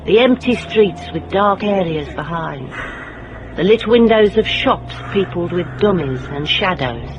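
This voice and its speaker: A woman's voice: lowish voice, expressive, measured pace, muffled recording, slight background noise. An actress playing a shrewd female private investigator in a radio drama, speaking and narrating in a wry, controlled, pointed way. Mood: wry, reflective. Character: shrewd, steely female private investigator, quietly morally indignant. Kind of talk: radio drama acting and first-person narration.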